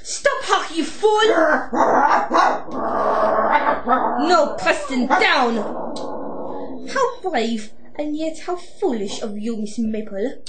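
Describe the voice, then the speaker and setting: A woman's voice: high-pitched, expressive, takes it slowly, echoey room, background noise. A woman playing a coolly gloating female doctor villain in an amateur audio drama, speaking with formal, mock-polite theatricality. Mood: furious.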